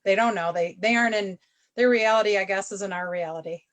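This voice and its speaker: A woman's voice: medium pitch, brisk. A woman co-hosting a video-call chat, talking candidly and rambling, trailing off and restarting her sentences. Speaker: co-host. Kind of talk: casual chat.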